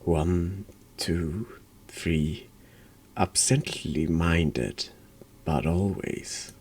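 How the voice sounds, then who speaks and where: A man congested with a cold, hosting a horror game playthrough in a hushed ASMR style, halting and sometimes dropping into whispers. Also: sits low, husky. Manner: slow, halting, drops into whispers. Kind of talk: hushed ASMR-style game commentary and read-aloud narration.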